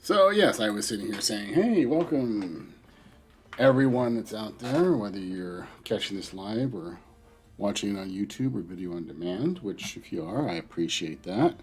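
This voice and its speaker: A man's voice: on the low side, normal speed. A middle-aged man with a cold, sounding stuffy, chatting casually to his livestream viewers while he builds a model. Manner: relaxed, chatty, thinking aloud while crafting. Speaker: hobby livestream host. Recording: faint background music.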